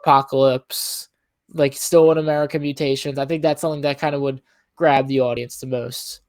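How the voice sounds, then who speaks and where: A man's voice: medium pitch, flat delivery, a little fast, darkish tone, no room sound, studio quality. A man co-hosting a podcast, chatting informally and loosely with plenty of "like" and "I mean". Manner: hedging, informal, leans on "like" and "I mean". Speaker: podcast co-host. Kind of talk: casual chat.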